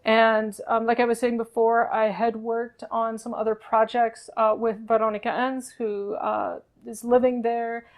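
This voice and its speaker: A woman in her thirties from Texas, explaining a project in an unscripted, thoughtful way with frequent pauses between phrases. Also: a little high, little pitch movement. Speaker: project co-creator, poet and researcher. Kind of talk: unscripted recorded discussion, explanatory.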